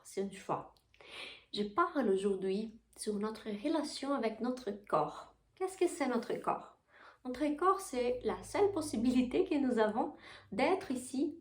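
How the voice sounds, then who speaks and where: A woman who speaks French with a Portuguese accent and talks about her body in a playful, gentle way, with small slips and restarts, recorded at home in her bedroom. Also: fairly high pitch, echoey room. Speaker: spiritual vlogger. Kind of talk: casual spiritual vlog.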